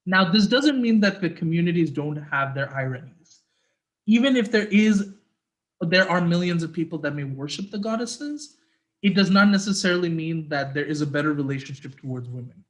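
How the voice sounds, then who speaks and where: A man with a sore throat giving an earnest, reflective talk as an interfaith activist, then answering questions over a video call. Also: fairly high pitch. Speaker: guest speaker, interfaith activist.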